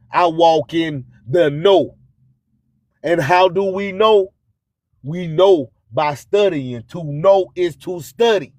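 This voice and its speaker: Guy radio host, high voice, talks real slow n emphatic like preaching, super dry sound. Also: no room sound. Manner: preachy, emphatic, repeats catchphrases. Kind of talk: slow spoken-word motivational monologue.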